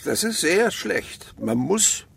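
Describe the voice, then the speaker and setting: Creaky, swooping old miser. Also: male voice, fairly high pitch, sing-song, takes it slowly, a bit bright, full character voice. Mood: feigned kindness. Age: older. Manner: grumbling, sarcastic, theatrical. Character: miserly, domineering older husband and uncle, gruff and sly. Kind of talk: German radio drama acting.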